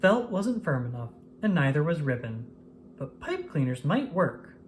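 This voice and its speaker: A woman narrating a children's picture book aloud in an expressive manner, paced for young listeners. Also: low voice, unhurried, a little hiss or hum. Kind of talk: scripted storybook narration.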